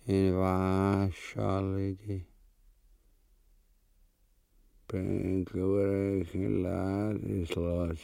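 An actor with an Austrian accent playing a pompous, gluttonous, cowardly tyrant in bombastic dialect for a grotesque comic radio drama. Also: male voice, deep voice, creaky, dark tone, exaggerated voice. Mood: sleepy, dreamy. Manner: bombastic, drawling, mock-elevated dialect speech. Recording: long hall-like reverb.

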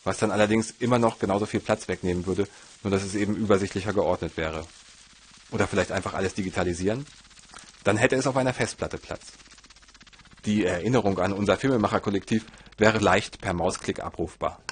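A man narrating his own story from a script in a measured, literary storytelling manner. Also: on the low side, husky. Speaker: narrator and filmmaker.

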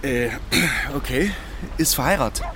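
A man's voice: mid-range voice, animated, unhurried, fairly bright tone, a little hiss or hum. An actor with a Bavarian accent playing a nosy, dryly joking village man in a dialect radio play. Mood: dry, curious.

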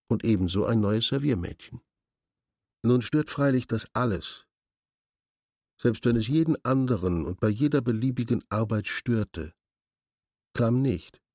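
Low-voiced, imperious senior official. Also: male voice, a little mellow, no room sound, studio quality. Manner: formal, bureaucratic, pedantic. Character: curt, imperious male senior official giving orders. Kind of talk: German radio drama acting.

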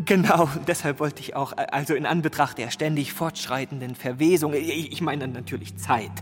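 A man's voice: fairly high pitch, fairly even intonation, normal speed, natural voice. An actor playing an awkward, greedy money man in a radio drama, hesitant and forever correcting himself. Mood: fawning, flustered. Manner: hesitant, stammering, self-correcting. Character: obsequious, greedy, awkward money man, tactlessly fishing for fortune. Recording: long hall reverb, music behind.

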